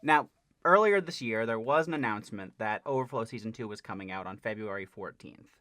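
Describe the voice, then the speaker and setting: A man's voice: lowish voice, animated, a little fast, pushed and bright, no echo, studio quality. A man talking casually as a channel host, explaining an update in a conversational way with stressed key words. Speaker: host of a parody dub channel giving an update.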